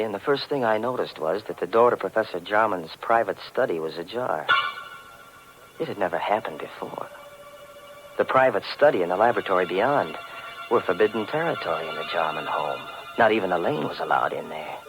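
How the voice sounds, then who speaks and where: A nosy young American man telling his own eerie story in forties radio drama style. His voice sits very low. Music plays clearly behind him on an old radio recording. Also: poor audio. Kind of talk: 1940s radio mystery acting, first-person narration.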